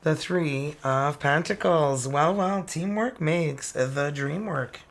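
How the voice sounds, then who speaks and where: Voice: medium pitch, slow pace, background noise. A tarot reader from northern Ontario with a Franco-Ontarian accent, a native French speaker, chatting casually with laughing, cheeky asides while reading cards. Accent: Franco-Ontarian French-Canadian. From northern Ontario, Canada.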